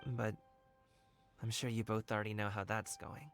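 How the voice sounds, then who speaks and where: A man's voice: on the low side, steady tone, normal speed, faint music behind, lightly acted. An actor playing a friendly, earnest young man in a video game, speaking in a natural conversational way. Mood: regretful, determined. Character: friendly, earnest young male dancer, eager to please.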